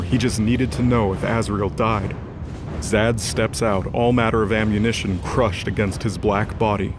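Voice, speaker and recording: Male narrator for fantasy audio drama, low voice, scripted dramatic read over music. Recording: music bed behind voice.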